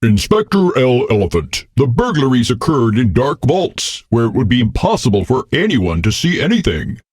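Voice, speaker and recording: A man voicing a serious, dutiful bison police captain in a children's cartoon, with a husky voice and an official manner. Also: medium pitch, very animated, normal speed, darkish tone, no room sound. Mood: serious, reporting.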